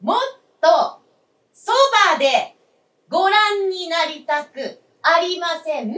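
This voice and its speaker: An actress playing a polite, solicitous young wife in a staged radio drama, speaking formally and coaxingly. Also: female voice, very high voice, expressive, slow, fairly bright tone, exaggerated voice. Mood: solicitous, projecting. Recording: echoey stage, distant microphone.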